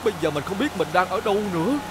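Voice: medium pitch, expressive, very fast, noisy background, close to an everyday voice. A voice actor playing a big talking bus in a children's cartoon, frightened and pleading. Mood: uneasy, confused. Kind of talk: animated children's cartoon dub.

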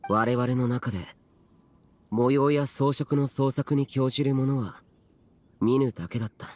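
A man's voice: mid-range voice, fairly even intonation, a little slow, a little mellow, lightly acted. Man playing a solemn, aloof young immortal warrior. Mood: solemn, reserved. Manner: reserved, formal, archaic. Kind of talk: Japanese video game voice acting.

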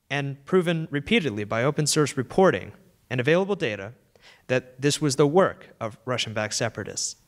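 A young man seated on an auditorium stage, speaking into a handheld microphone in a fluent, measured conference keynote. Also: medium pitch. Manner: fluent, measured, gestures while talking.

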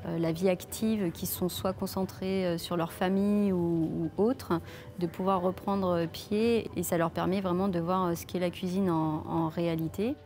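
Woman chef, 30s, from France, lower voice, halting interview delivery with music bed. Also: fairly even intonation. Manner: hesitant, many fillers and restarts. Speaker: head chef. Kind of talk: filmed interview answers. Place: leafy outdoor restaurant courtyard. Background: soft background music.

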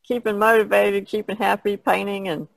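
A woman's voice: mid-range voice, little pitch movement, moderate pace, creaky, no echo, rough recording. A woman chatting casually as a co-host on an art podcast. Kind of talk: casual chat.

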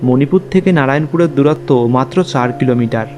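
A man's voice: medium pitch, fairly quick, a little mellow, natural voice. A man playing a young journalist, narrating his own ghost story in a scripted cartoon style. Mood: suspenseful. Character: young male journalist recounting an eerie night. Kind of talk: scripted first-person horror story narration.

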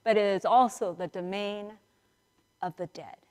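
A middle-aged woman priest giving a conversational storytelling sermon in a large church, acting out dialogue with dramatic pauses. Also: medium pitch, fairly even intonation, darkish tone. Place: large church sanctuary, indoors, standing and moving before the altar. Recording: reverberant church hall, picked up in a large space.

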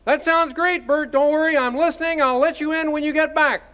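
A man's voice: extremely high pitch, steady tone, brisk, sharp tone. A man voicing a cheerful, carefree male puppet roommate in a children's TV comedy, breezy and oblivious. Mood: oblivious, upbeat.

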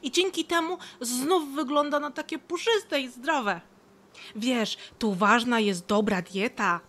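A woman playing a lively, affectionate, chatty red squirrel in an audio drama, eager and effusive. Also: high voice, takes it slowly, full character voice. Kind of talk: audio drama character acting.

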